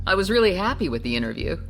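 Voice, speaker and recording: A celebrity astrologer in a radio play. Her lowish voice moves freely up and down, glib and a little defensive. Faint music plays behind her. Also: sing-song, fast, low-quality recording. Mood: offhand. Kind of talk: radio drama acting.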